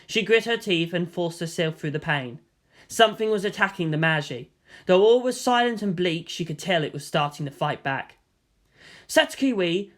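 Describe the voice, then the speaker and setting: An amateur audiobook narrator reading a fan story in a steady, mid-pitched voice. The pacing is even and unhurried, and dialogue gets voiced in character. The tone is plain and matter-of-fact. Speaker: fan fiction reader.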